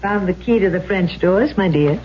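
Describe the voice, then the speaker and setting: An actress playing a prim, cautious, motherly older landlady in an old-time radio drama, speaking in a measured, admonishing way. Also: medium pitch, husky, lightly acted. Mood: wary, measured. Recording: dull old radio recording.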